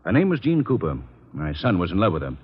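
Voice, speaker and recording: A man's voice: low-pitched, huge pitch swings, fast talker, husky, exaggerated voice. A middle-aged man playing an earnest, worried father, polite and formal, in a 1940s radio drama. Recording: muffled old radio broadcast.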